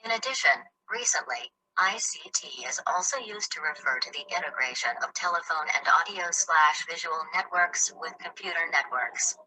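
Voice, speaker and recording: A woman's voice: low voice, lively intonation, normal speed, hardly any pauses, bright, forceful tone, low-quality recording. A woman giving scripted narration for an explainer video, evenly reading out definitions.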